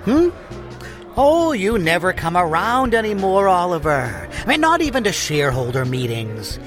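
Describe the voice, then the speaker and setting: An actor playing a smarmy, leering business magnate in an audio drama, with an unctuous drawl. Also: male voice, high-pitched, very animated, moderate pace, music in the background. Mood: needling.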